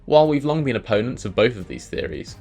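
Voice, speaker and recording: A man's voice: medium pitch, animated, fast, gravelly, darkish tone, soft background music. A man hosting a video, reading scripted commentary on video game lore. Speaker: video host.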